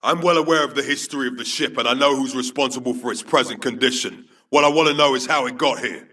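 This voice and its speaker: A man's voice: mid-range voice, fast talker, bright, forceful tone, lightly acted. Casting: male actor as authoritative middle-aged fleet commander for a sci-fi shooter game; clipped, formal delivery, slightly distant echoey sound. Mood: firm, intense. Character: stern, authoritative male military vice admiral commanding troops. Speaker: military commander. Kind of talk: video game military briefing voice acting.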